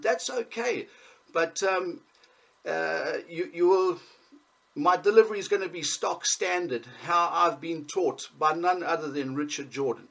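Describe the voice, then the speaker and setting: A man in his thirties with a Southern African accent, teaching Bible lessons over a video call, talking with many pauses and restarts. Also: on the high side, a bit bright. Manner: hesitant, many ums and restarts.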